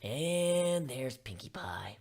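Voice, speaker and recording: A woman's voice: very deep voice, sing-song, slow pace, heavy background noise. A woman reading scripted first-person story narration in a dramatic style.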